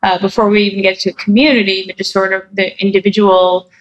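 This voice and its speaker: A woman's voice: mid-range voice, little pitch movement, quick pace, poor audio. Reflective woman guest with many fillers. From New Jersey, USA. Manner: thoughtful, many fillers and restarts. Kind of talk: casual interview answers.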